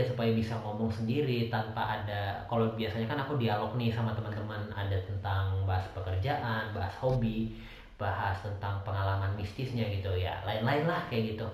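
Young male podcaster, softened Medan accent, lowish voice, rambling solo chat, very echoey room. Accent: Medan Indonesian, softened. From Medan, North Sumatra. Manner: rambling, many fillers and restarts. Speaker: podcast host. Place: indoors at home, late night.

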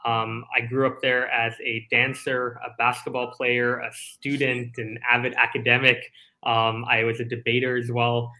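Bright-voiced young man, flat delivery. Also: medium pitch, normal speed, low-quality recording. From Singapore. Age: 20s. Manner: articulate, thoughtful storytelling. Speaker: startup CEO guest. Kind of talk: casual interview.

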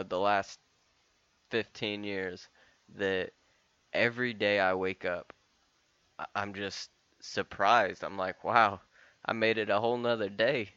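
A man's voice: on the low side, bright, forceful tone, smooth, dry recording, highs cut off. A man of about thirty talking casually and candidly in a rambling solo podcast monologue, often restarting and swearing. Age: 30s. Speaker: podcast host.

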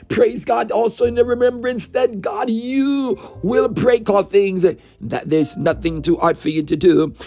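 A man's voice: high-pitched, a little mellow. A man leading a fervent spoken prayer, pleading and repeating phrases, at times half-singing and speaking in tongues. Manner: impassioned, repetitive, half-sung intercession with tongues. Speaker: prayer leader.

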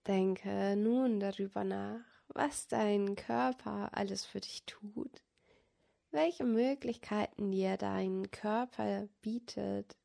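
A woman guiding a yoga meditation as a teacher, speaking softly and calmly with long pauses between instructions. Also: mid-range voice, vocal fry. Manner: soft, calm, soothing, with long pauses. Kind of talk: guided yoga nidra meditation.

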